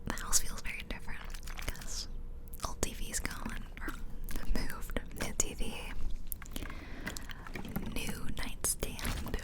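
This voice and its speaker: A woman in her twenties rambling casually in a soft, unvoiced whisper for ASMR, close to the microphone. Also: takes it slowly, long pauses. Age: 20s. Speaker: ASMR video host. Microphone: close ear-to-ear ASMR microphone.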